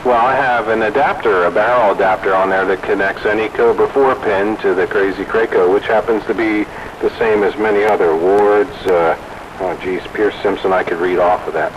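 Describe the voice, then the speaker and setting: A man's voice: on the low side, fairly even intonation, moderate pace. A man, a radio hobbyist, talking casually over a radio link. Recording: noisy, dull radio transmission.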